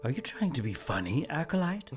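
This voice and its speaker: A cold, menacing cult master. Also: male voice, on the high side, sing-song, faint music behind. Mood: stern. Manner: measured, threatening, formal. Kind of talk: audio drama acting.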